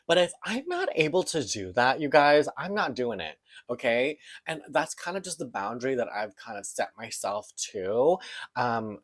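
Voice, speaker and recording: A man's voice: medium pitch, big pitch swings, moderate pace. Earnest man in his thirties reflecting. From Philippines. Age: 30s. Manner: earnest, searching, open. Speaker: podcast host. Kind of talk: personal reflective monologue.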